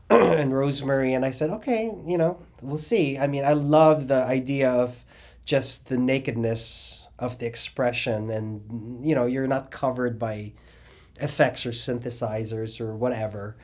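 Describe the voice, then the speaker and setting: Rambling, animated middle-aged man. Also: mid-range voice, a little slow. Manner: rambling, animated storytelling with many fillers and restarts. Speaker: interviewee, cabaret singer and drag performer. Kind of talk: oral history interview.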